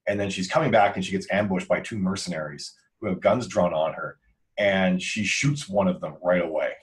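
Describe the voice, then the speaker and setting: Man, very deep pitch, casual podcast conversation, author, show regular. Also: fairly quick. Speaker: podcast crew member and author.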